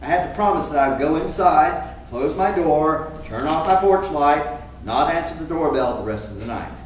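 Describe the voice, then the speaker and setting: A wry cat owner recounts his comic troubles with exasperation , played by a man reading onstage. His fairly high voice moves freely up and down, heard from a distance in a very echoey theater. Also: normal speed, natural voice. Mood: sheepish, resentful. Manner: animated, expressive delivery. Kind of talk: theatrical first-person storytelling in a play read-through. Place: indoor theater stage, recorded from afar. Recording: echoey theater, distant mic, faint noise.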